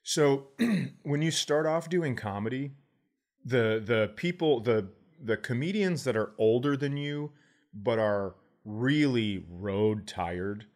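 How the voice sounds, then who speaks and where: A man's voice: medium pitch, takes it slowly, a little mellow. A middle-aged American man chatting casually and telling stories as a podcast host, in an informal, profane way. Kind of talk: casual chat, storytelling.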